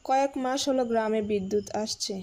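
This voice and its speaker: A woman playing a stern but caring middle-aged village mother in a cartoon drama, brisk and guarded as she gives instructions, with music behind her. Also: fairly high pitch, mellow, close to an everyday voice. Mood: matter-of-fact.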